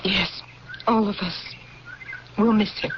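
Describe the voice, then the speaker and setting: An actress playing a grieving, proud and resolute general's widow in an old-time radio drama, speaking formally and with theatrical feeling. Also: female voice, medium pitch, fairly even intonation, takes it slowly, lightly acted. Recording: muffled, hissy old radio recording.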